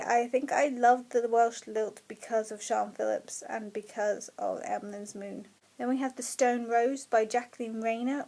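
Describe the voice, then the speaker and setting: Chatty woman with a bright, fairly high voice. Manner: chatty, enthusiastic, laughs often. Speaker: book vlogger. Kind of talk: casual chat to camera.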